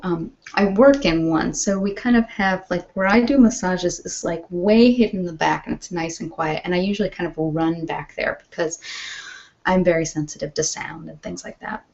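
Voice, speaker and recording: A woman's voice: on the low side, darkish tone. A woman chatting casually in a rambling, informal way as an ASMR host. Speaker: ASMR host and massage therapist. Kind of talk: casual live chat. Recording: dull, lo-fi sound.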